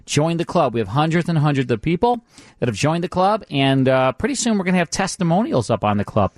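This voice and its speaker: A man's voice: on the high side, big pitch swings, fast talker, dry recording. An American man hosting a radio show in a casual, conversational, repetitive sales patter. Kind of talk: casual radio promotion and chat. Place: dry radio studio.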